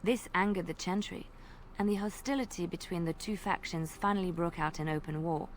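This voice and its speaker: A woman voicing a gentle young woman bard in a video game, telling old tales in a soft, measured and earnest way over faint game ambience. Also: fairly low pitch, slight background noise, close to an everyday voice. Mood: storytelling. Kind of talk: storytelling in video game dialogue.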